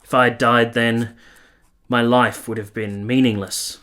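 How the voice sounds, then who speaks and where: An actor playing a weary, earnest, lovestruck army officer who narrates a radio play in solemn, literary, confessional language. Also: male voice, medium pitch, level tone, measured pace, pushed and bright, clear, resonant tone. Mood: resolute, impassioned. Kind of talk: radio play, first-person letter narration.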